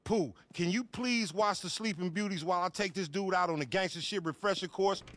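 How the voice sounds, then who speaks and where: A man playing a brash, boastful, foul-mouthed young American gangster stoner in a video game cutscene, with loud slangy swagger. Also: sits high, a little fast. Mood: cocky, dismissive. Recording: music behind the voice.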